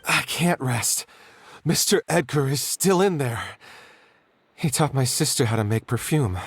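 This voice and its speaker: An actor playing a loyal, stubborn, distressed young man in a video game, speaking earnestly and haltingly. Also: mid-range voice, animated, a little hiss or hum. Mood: disbelieving, insistent. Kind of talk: scripted video game dialogue.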